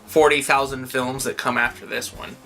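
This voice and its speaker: A man co-hosting a casual conversational movie review, thinking out loud and rephrasing as he goes. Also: mid-range voice, flat delivery, normal speed, faint music behind. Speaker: co-host of a movie review show.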